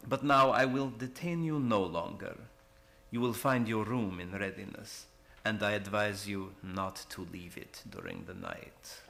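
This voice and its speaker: A man's voice: on the low side, vocal fry, pushed and bright, slight background noise, heavily acted. A man acting a courtly, menacing vampire count in an old-time radio horror play, speaking formally and with suave menace. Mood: suavely ominous. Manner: slow, formal, suavely sinister.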